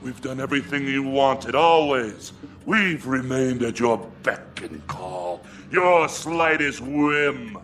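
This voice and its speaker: A man's voice: medium pitch, expressive, slow pace, fairly bright tone. A greedy, middle-aged family man in a radio drama. He airs his grievances formally and with resentment, taking his time over the words. Faint music sits under his voice. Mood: angry, accusing. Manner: formal, aggrieved. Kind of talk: dramatic radio play acting.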